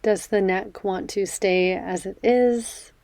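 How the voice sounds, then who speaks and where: A woman leading a guided meditation in a gentle, measured, soothing way, leaving pauses between phrases. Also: medium pitch, fairly even intonation, gravelly, no echo. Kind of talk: guided meditation, body scan.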